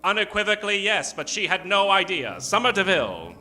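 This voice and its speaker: A man with a bright, forceful voice announces in mock old-time radio style. He is theatrical and dramatic, leaning on suspenseful pauses and sudden emphasis, with faint music behind him. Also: high voice, sing-song. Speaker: announcer and host of an improvised radio show. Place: theater stage. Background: live keyboard music.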